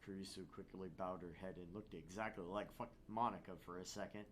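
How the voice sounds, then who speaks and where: A man's voice: sits low, fairly even intonation, fairly quick, slight background noise. A man reading visual novel narration aloud as a gaming host, with casual asides.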